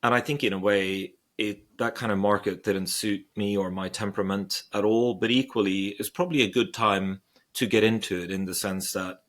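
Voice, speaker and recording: A man's voice: lowish voice, fairly even intonation, moderate pace, a bit bright. A man in his forties from Sweden, a guest investor answering questions thoughtfully, with frequent hesitations and restarts. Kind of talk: interview.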